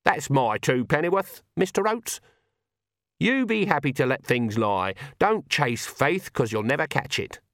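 Man as fierce old country witch, raised pitch, folksy, emphatic, stubborn, scolding, storybook reading. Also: very animated, measured pace, a bit bright, dry recording, clean studio sound, full character voice. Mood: firm advice.

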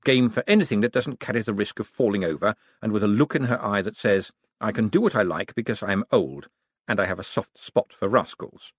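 A man voicing a wary young male con man with a dry, measured way of talking. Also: lowish voice, breathy edge, no echo. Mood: sardonic.

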